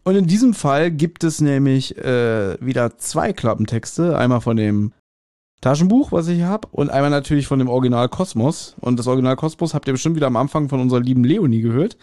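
A man's voice: medium pitch, animated, a little fast, warm tone, no echo, studio quality. A man co-hosting a podcast, chatting casually in blunt, slangy language. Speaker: podcast co-host. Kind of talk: casual chat reviewing an audio drama. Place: remote recording at a computer, on video call.

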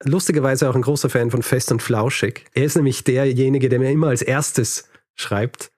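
A man with a medium-pitched voice hosts a podcast, telling his story casually. He pauses to find words and drops in light chuckling asides. The sound is close and dry. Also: fairly even intonation, darkish tone, no echo. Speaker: history podcast host telling the episode's story.

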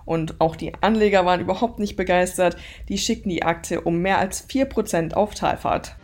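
A woman's voice: on the low side, moderate pace, background noise. A woman giving a conversational financial news report as a market correspondent.

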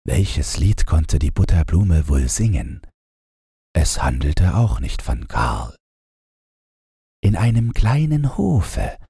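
A man narrating a bedtime fairy tale in a gentle, measured storytelling manner. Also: deep voice, slightly rough, no echo, studio quality. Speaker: audiobook narrator.

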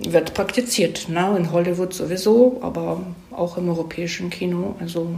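A woman being interviewed as a screenwriter, explaining conversationally, haltingly and with digressions, often tagging questions onto her phrases. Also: lowish voice, fairly even intonation, vocal fry, dark tone. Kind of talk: conversational explanation of storytelling craft. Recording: echoey room.

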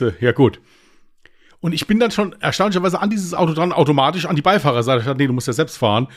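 Chatty German man, slightly high voice. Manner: rambling storyteller, laughs at his own stories. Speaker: podcast co-host. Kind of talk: casual chat, anecdote telling.

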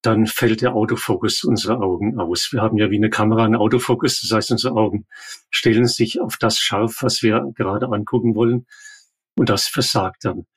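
An older man with a low, husky voice, speaking as an expert guest. He explains things calmly and plainly, step by step, in an interview setting. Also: fairly quick. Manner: calm, explanatory, matter-of-fact. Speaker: ophthalmologist guest.

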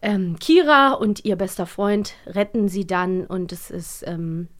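A woman's voice: mid-range voice, measured pace, a bit bright. A German woman hosting a podcast, chatting casually and laughing often as she retells a story. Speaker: podcast host reviewing radio plays. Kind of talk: casual chat.

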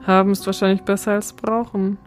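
Female podcast co-host over clear music. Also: medium pitch, little pitch movement, normal speed. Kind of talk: scripted podcast introduction and audio play dialogue.

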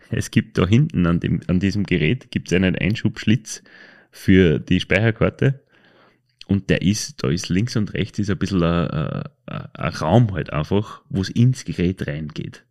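A man's voice: deep voice, unhurried, darkish tone. An Austrian man hosting a podcast, chatting casually and telling anecdotes in a hesitant, colloquial way, often correcting himself. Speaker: podcast host.

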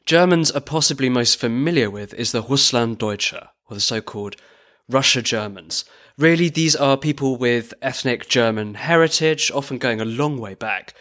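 A man's voice: medium pitch, a bit bright. A man co-hosting a history podcast, reading scripted narration with dry, wry humor. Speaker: podcast co-host.

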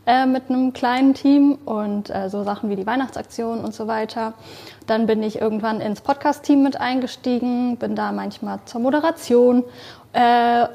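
Woman talking bout her volunteer job, a bit high, creaky, lots of ums. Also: darkish tone. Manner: chatty, with fillers and little laughs. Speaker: event coordinator. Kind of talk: casual interview answer.

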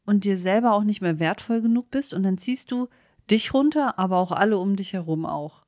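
A woman's voice: mid-range voice, lively intonation, fairly quick, darkish tone, no room sound. Female co-host chatting, dry close sound. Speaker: podcast co-host. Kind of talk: casual conversational discussion.

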